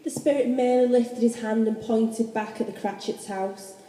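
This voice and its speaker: A woman's voice: fairly high pitch, steady tone, moderate pace, warm tone, natural voice. A woman playing the solemn narrator of a ghost story in a scripted radio play. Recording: distant echoey hall, faint noise.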